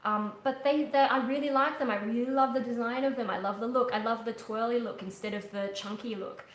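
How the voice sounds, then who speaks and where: A woman's voice: a little high, steady tone, fairly quick, reverberant, a little hiss or hum, low-quality recording. A young woman chatting casually on a livestream from her living room couch, rambling with many ums and restarts. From Australia. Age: young adult. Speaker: livestream host, metal singer. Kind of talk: casual live chat. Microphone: webcam at a distance.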